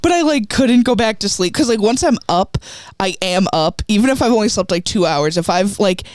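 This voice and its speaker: Crude, fast-talking woman comedian. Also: medium pitch, animated, dry recording, clean studio sound. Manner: crude, fast-talking banter full of swearing and "like". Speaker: comedian guest. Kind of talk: casual comedic chat.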